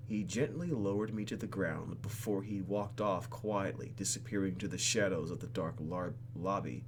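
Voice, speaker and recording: A man's voice: low voice, normal speed, noisy background. A man hosting a let's play, reading a game script aloud with joking, slangy asides.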